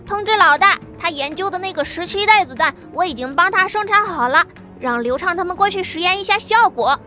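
A woman's voice: very high voice, expressive, a little fast, bright, forceful tone, soft background music, heavily acted. A woman voicing a blunt young man. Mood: offhand. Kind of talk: audio drama acting.

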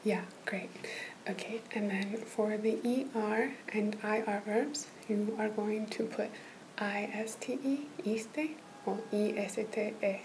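Slow, soft-whispering woman tutor. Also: medium pitch, fairly even intonation, a little hiss or hum, poor audio. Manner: soft, patient, encouraging whisper. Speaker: language tutor. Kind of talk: whispered ASMR tutoring roleplay.

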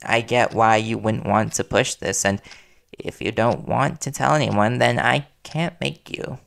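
Guy acting as worried reporter boyfriend in an audio rp, lowish gravelly voice, earnest n soothing, hesitant pauses. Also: steady tone, a bit bright, exaggerated voice. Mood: accepting, reassuring. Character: worried, devoted young male reporter boyfriend, gently pleading. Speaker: voice actor in audio roleplay.

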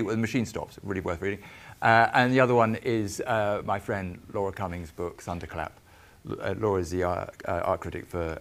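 Deep-voiced enthusiastic man on a panel. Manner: enthusiastic, stumbling restarts. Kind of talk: festival panel discussion. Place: large marquee with audience.

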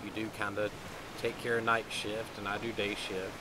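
A man's voice: fairly low pitch, flat delivery, moderate pace, very noisy. A man improvising in-character roleplay in a video game, muttering casually as if to animals around him. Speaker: gaming video creator playing a character.

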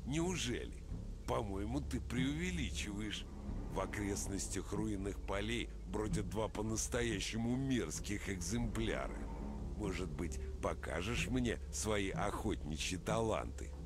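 A proud hunter from a fantasy video game. His voice is fairly low, and he sounds sure of himself and challenging, with clear music playing behind. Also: expressive, unhurried. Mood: proud, probing. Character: self-assured male hunter, proud and challenging. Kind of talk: scripted video game dialogue.

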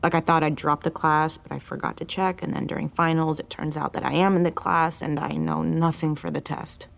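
A young, dutiful older sister in an audio drama. Her voice sits a little low for a woman. She talks casually, with a dry, teasing, world-weary edge. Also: flat delivery, fairly quick, creaky. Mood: weary, wistful.

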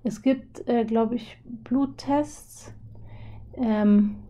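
A young woman with a hoarse, husky voice from a cold, explaining a topic casually over drawings with lots of filler pauses. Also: fairly high pitch, steady tone, mellow. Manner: chatty, many filler pauses. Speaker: video host.

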